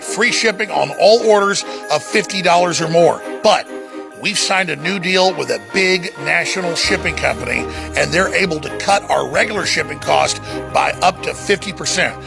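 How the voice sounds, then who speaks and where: High, gravelly American radio pitchman. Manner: enthusiastic, salesy. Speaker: host reading store promotion. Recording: music bed under the voice.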